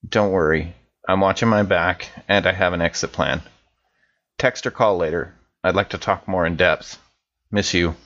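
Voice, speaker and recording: A low, slightly muffled male voice, acted by a man as a guarded guy in his thirties. He talks like he is confiding in an old buddy, informal and measured, in an audio drama monologue. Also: steady tone, fairly bright tone. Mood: uneasy, guarded. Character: wary, chummy man in his thirties dictating a personal message. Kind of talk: scripted in-character monologue, conversational.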